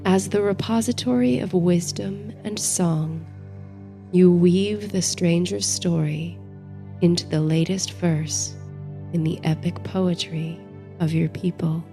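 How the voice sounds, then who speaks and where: Female sleep-story narrator: soothing and dreamy, very unhurried, lowish mellow voice, faint music underneath. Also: steady tone. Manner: soothing, measured, dreamy delivery. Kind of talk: bedtime story narration, guided meditation. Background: soft music.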